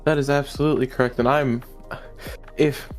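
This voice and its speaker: An upbeat man opens and commentates a video game tournament stream. His voice sits at a middling pitch and sounds eager. Soft music plays underneath. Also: fairly even intonation, moderate pace. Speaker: commentator, show host. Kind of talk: esports commentary.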